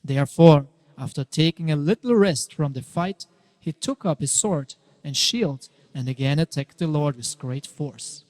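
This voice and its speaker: A man narrating a dramatic scripture reading in a theatrical, emphatic way, swelling and hushing for effect. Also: fairly high pitch, measured pace. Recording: long reverberant hall echo.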